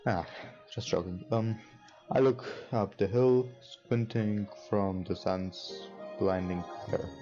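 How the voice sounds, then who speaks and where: Male let's play host: unhurried, mellow, stumbling slightly through game text, slightly dull recording. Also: fairly low pitch, steady tone. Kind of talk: reading game text aloud with casual commentary. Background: game music.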